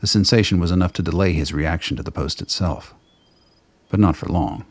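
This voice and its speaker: A man reading scripted dramatic narration for a radio play in a measured, literary storytelling manner, with a gravelly, husky voice. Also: deep voice, dry recording.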